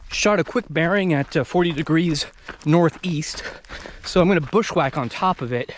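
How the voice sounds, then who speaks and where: An American man in his thirties talking casually to camera on a hike, halting and thinking aloud as he moves. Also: a little high. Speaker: vlogger. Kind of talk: casual talk to camera while hiking. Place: outdoors in open desert backcountry. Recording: outdoor noise on handheld camera.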